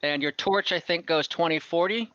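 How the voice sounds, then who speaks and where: A man acting as game master in a casual online tabletop game, explaining rules in an easygoing, halting way. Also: on the high side, bright, forceful tone, no echo, dull audio.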